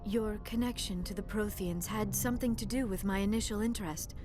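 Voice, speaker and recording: A woman's voice: mid-range voice, fairly even intonation, brisk, natural voice. A woman playing a shy, earnest alien scientist in a video game, speaking formally and carefully. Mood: hesitant, tender. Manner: formal, careful diction without contractions. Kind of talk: video game drama acting. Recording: loud music behind dialogue.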